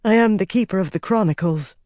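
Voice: mid-range voice, fairly even intonation, moderate pace, a little mellow, no echo, studio quality, pitched above the performer's own voice, natural voice. An audiobook narrator voicing an anxious, servile woman, meek and hesitant as she tries to placate her master. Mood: nervous, obedient. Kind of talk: audiobook character voice.

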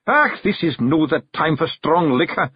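Man acting a husky, brooding Scottish fairy chief. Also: a little high, big pitch swings, normal speed, no room sound, muffled recording, voice pushed up. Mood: heavy-hearted. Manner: gruff, dramatic, stubborn. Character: tiny male fairy clan chief, brooding and proud.

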